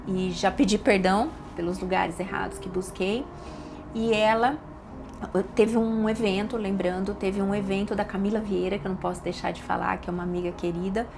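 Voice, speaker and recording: A middle-aged Brazilian woman tells a personal faith story on a podcast. She keeps circling back to the same words for emphasis, and her voice sits at an ordinary mid pitch. Clear noise runs under the recording. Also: steady tone, fairly quick. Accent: Brazilian Portuguese. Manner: emotional, emphatic storytelling with repetitions. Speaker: doctor and podcast guest. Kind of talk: personal testimony in a podcast interview. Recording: audible background noise.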